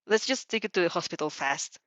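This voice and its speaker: A woman's voice: fairly low pitch, lively intonation, brisk, fairly bright tone, no room sound. A woman voicing a protective young male hero from a fan fiction story, fond, worried and scolding. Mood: stern worry. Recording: dull lo-fi microphone.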